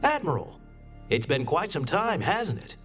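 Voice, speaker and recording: Slow, swooping, evasive senator. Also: male voice, a little high, big pitch swings, fairly bright tone, faint music behind. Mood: breezy, feigned surprise. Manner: hesitant, stammering politician. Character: evasive, hard-drinking male senator, awkward and slippery. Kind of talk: video game cutscene acting.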